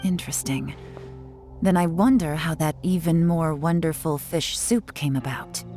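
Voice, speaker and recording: An actress playing a poised, cunning intelligence agent in a video game, coolly in command. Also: female voice, sits low, a little slow, mellow, soft background music. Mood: sly, probing.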